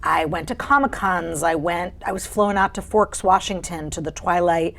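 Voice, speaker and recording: A woman with a feminine voice speaks in a relaxed interview. Her telling is animated and expressive, moving between easy asides and bright recollection. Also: lowish voice, normal speed, vocal fry. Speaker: audiobook narrator and voice actor. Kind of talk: casual interview chat.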